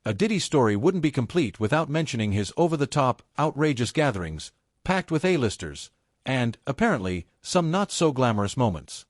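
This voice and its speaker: A man's voice: medium pitch, big pitch swings, moderate pace, no echo, clean studio sound. A man reading scripted celebrity gossip narration in a dramatic, insinuating storytelling manner.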